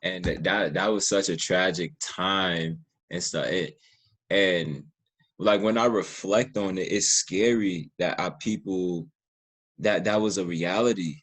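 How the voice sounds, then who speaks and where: A man's voice: low-pitched, a little slow, fairly bright tone, slightly rough. A man hosting a casual interview, talking in an earnest, informal way and often repeating himself. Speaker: podcast host interviewing a guest.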